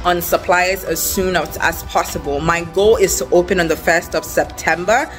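A young woman vlogs in a casual, excited way, talking straight to her viewers. Her voice sits on the low side for a woman. Music plays clearly behind her as she speaks into a handheld camera. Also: moderate pace. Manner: excited, upbeat. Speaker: vlogger and small business owner. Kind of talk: casual vlog chat to viewers. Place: outdoor storefront and bare empty shop room.

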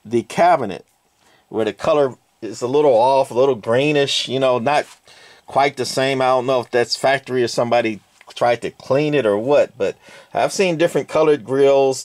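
A man's voice: medium pitch, normal speed, darkish tone, no echo. A man casually walking through vintage radio gear in a workshop, rambling with lots of fillers and hedges. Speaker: radio collector. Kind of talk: casual hands-on walkthrough. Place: cluttered workshop with shelving.

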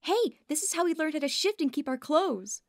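Casting: actress for excitable bookish she-wolf, slightly high, very expressive, audio roleplay. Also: brisk, no echo. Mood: excited, curious. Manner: enthusiastic. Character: eager, curious bookish female werewolf. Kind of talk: audio roleplay drama acting.

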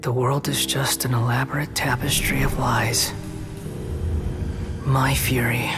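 A man playing a bitter, betrayed young puppet in a game cutscene, hushed, breathy and brooding with theatrical menace. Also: mid-range voice, flat delivery, unhurried, close to an everyday voice. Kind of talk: dramatic game cutscene monologue. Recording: loud eerie music under voice. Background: scary cinematic music.

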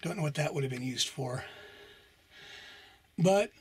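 A man's voice: on the high side, animated, unhurried, darkish tone, slight background noise. A man casually narrating as he explores an abandoned mine and desert, thinking aloud with hesitant, musing remarks. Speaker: explorer vlogger.

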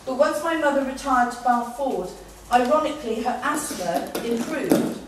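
A woman's voice: sits high, lively intonation, moderate pace, very noisy. A woman, a parliamentary candidate, reading a prepared opening statement at a public meeting, a little hesitant at times. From England. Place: meeting room with audience. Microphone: far room camera. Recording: echoey room from far off.

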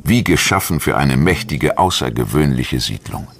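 A man reading a scripted history documentary narration in a measured, articulate storytelling manner. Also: rumbling low, expressive, breathy edge. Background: music.

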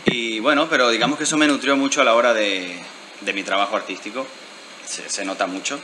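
A man's voice: medium pitch, steady tone, brisk, noisy background. A man, the guest in an interview, speaking thoughtfully with hesitant restarts. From Venezuela. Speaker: guest artist. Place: lounge bar indoors. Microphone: handheld mic.

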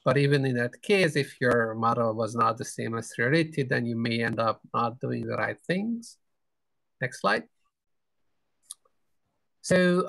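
Middle-aged male academic keynote speaker, mid-range voice, halting explanatory delivery, close dry video-call sound. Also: medium pitch, gravelly, a bit bright, dry recording. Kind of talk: academic conference lecture with slides. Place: indoor room, video call.

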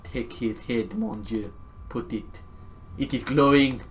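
With clear game noise behind him, a young man of middling pitch comments as a co-player. He is casual and sweary and likes French exclamations. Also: slow. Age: young adult. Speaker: guest co-player. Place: small indoor room. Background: horror game ambience.

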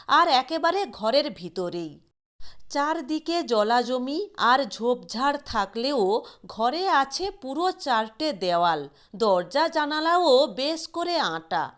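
Female audiobook-style narrator for literary fiction. High pitch, slow measured delivery. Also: a bit bright. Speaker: audio story reader.

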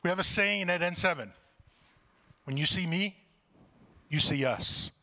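A man in his fifties giving a heartfelt, halting acceptance speech on stage into a handheld microphone. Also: sits high, bright, forceful tone. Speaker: award recipient speaking on stage. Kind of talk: public speech. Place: conference stage in an auditorium.

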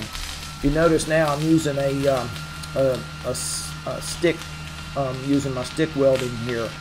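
A man's voice: mid-range voice, gravelly. A middle-aged man casually narrating his welding work, rambling with lots of uhs and ums. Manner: rambling, many uhs and ums, plain-spoken. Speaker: hobby welder and channel host. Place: large open garage workshop. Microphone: camera mic at a distance, close selfie at start. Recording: workshop noise behind, distant mic.

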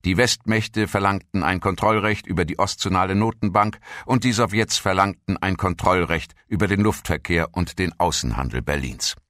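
A man narrating a scripted historical documentary in a measured, formal storytelling manner. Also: deep voice, a bit bright, dry recording, clean studio sound.

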